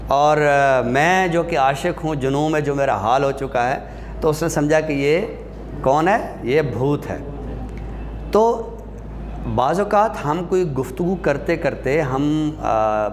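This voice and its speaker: Male lecturer, mid-range pitch, deliberate explanatory delivery, pauses between phrases, clear background noise. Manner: deliberate, pausing, poses and answers own questions. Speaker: lecturer teaching Urdu rhetoric.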